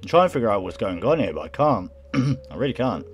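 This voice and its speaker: A man's voice: on the low side, sing-song, quick pace, slight background noise. A young man doing a let's play, reading game text aloud and adding bemused, muttered casual reactions. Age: young adult. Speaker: gamer playing on camera.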